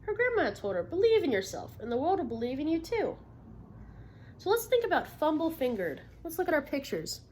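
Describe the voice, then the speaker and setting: Expressive woman reading a storybook aloud, background noise. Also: sits high, brisk. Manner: encouraging, checks understanding with frequent questions. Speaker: teacher reading a picture book aloud to children. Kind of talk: interactive storybook read-aloud with questions to the listeners.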